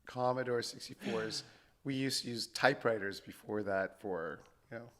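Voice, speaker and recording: A man in his fifties co-hosting a podcast, talking quietly with a voice he has nearly lost. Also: medium pitch, normal speed. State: losing his voice. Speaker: podcast co-host. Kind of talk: casual chat.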